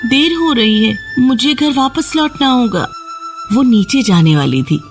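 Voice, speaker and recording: A woman storyteller reading a scripted fairy tale narration for children. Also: medium pitch. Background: background music.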